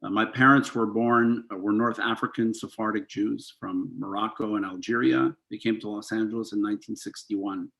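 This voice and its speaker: A man's voice: lowish voice, steady tone, moderate pace, vocal fry, few pauses, fairly bright tone, low-quality recording. A man in his fifties, a rabbi, speaking earnestly and reflectively on a video call panel, with frequent ums and uhs. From United States. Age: 50s.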